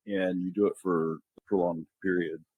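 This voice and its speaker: Deep-voiced male nurse podcast co-host. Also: level tone, slightly rough, no echo, phone-quality sound. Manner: halting, restarts mid-sentence when explaining. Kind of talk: casual podcast conversation.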